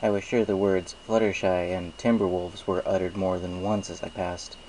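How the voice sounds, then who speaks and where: A man with a fairly low voice narrates a story in the first person. He reads from a script as the narrator. Slight noise runs behind his voice. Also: flat delivery, normal speed, darkish tone, low-quality recording. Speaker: fan fiction narrator.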